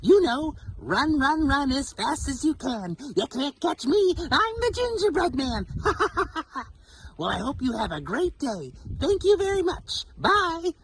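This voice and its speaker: Bouncy little bunny, sing-song, really high, swoops around, kids show. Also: sing-song, slow, crowd noise, full character voice. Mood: playful, cheerful. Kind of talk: children's story show character.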